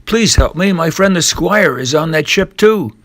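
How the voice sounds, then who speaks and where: A man's voice: on the high side, lively intonation, moderate pace, fairly bright tone, dry recording, voice pushed up. An adventurous boy, as an older male reader plays him speaks earnestly and politely. It is a storybook tale read aloud. The sound is dry and close. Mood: urgent pleading.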